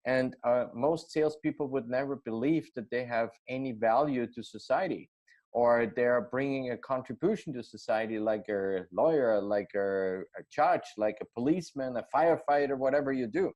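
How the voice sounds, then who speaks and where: Austrian-accented man, halting speech. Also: medium pitch, darkish tone. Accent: Austrian German. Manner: hesitant, many fillers and restarts. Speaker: guest. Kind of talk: casual interview.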